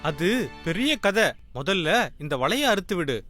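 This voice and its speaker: A woman's voice: lowish voice, big pitch swings, measured pace. A woman voicing a brave, reassuring bird teacher in a children's cartoon, encouraging and commanding the flock. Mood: calm. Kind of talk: children's cartoon story acting. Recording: loud music and crowd noise behind.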